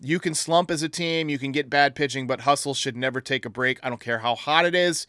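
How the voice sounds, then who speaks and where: A man's voice: medium pitch, fairly quick, sharp tone, clear, resonant tone, dry recording, studio quality. A man hosting a sports podcast, giving opinionated, critical commentary in an emphatic manner. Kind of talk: sports commentary, answering listener voicemails.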